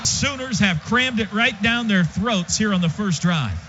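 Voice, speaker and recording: High-pitched American football play-by-play man, noisy broadcast. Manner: clipped, rapid-fire phrases; excitable, voice rising on big plays. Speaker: play-by-play announcer. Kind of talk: live American football radio commentary. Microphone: broadcast booth microphone. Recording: dull lo-fi broadcast, loud stadium noise.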